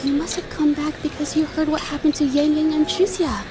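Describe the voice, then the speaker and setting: A woman's voice: sits high, steady tone, brisk, noisy background, lightly acted. A woman voicing an anxious, earnest young girl in an anime-style video game. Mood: relieved. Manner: earnest, hesitant. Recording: background music under the voice.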